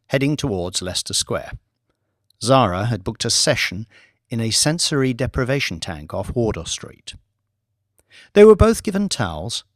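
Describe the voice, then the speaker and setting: A man's voice: mid-range voice, creaky, no echo. A man reading dramatic scripted audiobook narration into a close mic.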